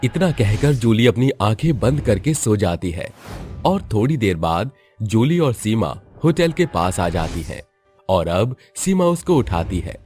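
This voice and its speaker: A man narrating a spooky storybook cartoon, his voice fairly low. His pitch swings widely as he tells the tale. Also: sing-song, unhurried, dark tone, faint music behind.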